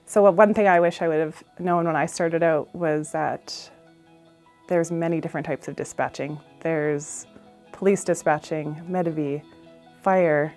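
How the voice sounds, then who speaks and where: A woman's voice: low-pitched, a little mellow. A middle-aged woman with a Canadian accent giving an informal, plain-spoken career talk as an emergency dispatcher.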